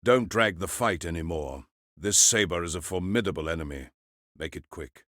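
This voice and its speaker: A man playing a cold, commanding mage who orders his servant from hiding in a slow, deliberate manner. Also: low voice, a bit bright, no room sound, clean studio sound. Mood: cold, impatient.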